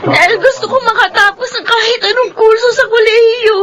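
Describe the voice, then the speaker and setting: A woman's voice: very high voice, steady tone, brisk, fairly bright tone, slight background noise. A woman playing a wounded, pleading young daughter in a Filipino radio drama, earnest and emotional. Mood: tearful pleading. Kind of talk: Filipino radio drama acting with first-person narration. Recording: music bed under the voice.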